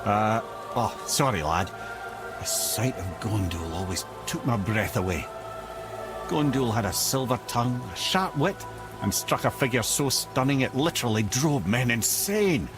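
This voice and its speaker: An actor playing a chatty, witty old advisor in a video game, a wry storyteller. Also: male voice, mid-range voice, animated, unhurried, music in the background, lightly acted. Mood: wistful, admiring. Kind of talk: video game dramatic acting.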